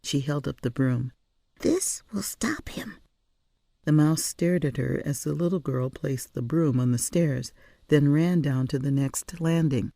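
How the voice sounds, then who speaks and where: A woman narrating a story in an expressive audiobook reading style. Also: rumbling low, moderate pace, vocal fry, no echo. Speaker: author or reader narrating a story.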